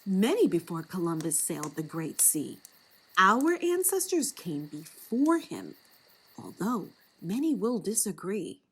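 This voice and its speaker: A woman voicing a wise, gentle old grandmother in a storybook, speaking in a measured, instructive way. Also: medium pitch, big pitch swings, slightly rough, exaggerated voice, low-quality recording. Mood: solemn.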